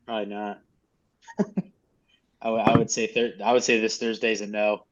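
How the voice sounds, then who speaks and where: A man's voice: on the low side, level tone, measured pace, background noise. A man coaching a group in casual, informal, mildly profane instructional talk over a lo-fi video call. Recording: dull, lo-fi video call audio.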